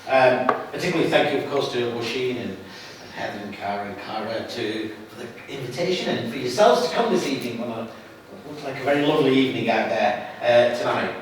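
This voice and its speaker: A man's voice: medium pitch, animated. A middle-aged man with a Lancashire accent speaks earnestly to a gathered audience, often circling back to restart his phrases. He is heard from far off in a very echoey hall, with a faint hiss underneath. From England, Lancashire. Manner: earnest, halting with frequent restarts. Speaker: guest speaker. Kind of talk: public talk to an audience. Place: hall at an evening event.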